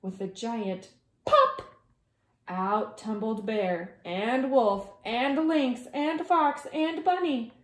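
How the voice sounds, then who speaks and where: A woman preschool teacher reading a children's story aloud in a dramatic way, with hushed asides to young listeners. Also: a little high, drawn-out words, echoey room.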